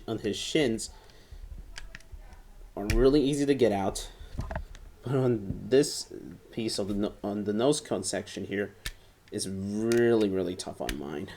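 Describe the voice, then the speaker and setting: A man giving a casual hands-on review in a halting, enthusiastic way, repeating and restarting phrases and stressing key words. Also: on the low side, warm tone, a little hiss or hum. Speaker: toy reviewer. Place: indoor room at home, seated at a table.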